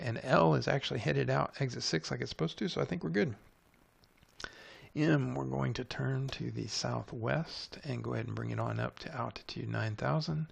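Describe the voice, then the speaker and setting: Guy with a really gravelly fry voice talking thru a game tutorial step by step, kinda thinking out loud. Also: mid-range voice. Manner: methodical, narrates each step. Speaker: tutorial presenter. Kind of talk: unscripted screencast tutorial, thinking aloud while playing.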